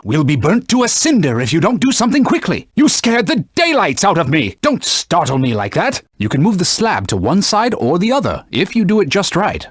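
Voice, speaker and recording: A man's voice: a little high, slightly rough, no echo, studio quality, full character voice. A man voicing a stern, haughty talking sword in a video game, a cautious battle mentor giving formal, scolding commands. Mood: urgent, scolding. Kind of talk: theatrical video game voice acting.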